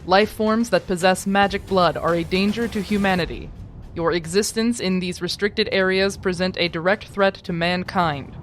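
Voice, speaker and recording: A man's voice: sits high, fairly even intonation, moderate pace, a bit bright, faint music behind. A man voicing an officious, hostile enforcer making a stern, formal arrest announcement in an audio roleplay.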